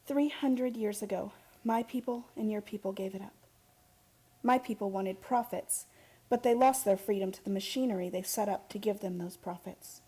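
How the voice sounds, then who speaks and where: An actress playing an earnest young heiress in a radio drama, with urgent, impassioned delivery. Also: female voice, mid-range voice, moderate pace, warm tone, husky, close to an everyday voice. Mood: tender, fervent.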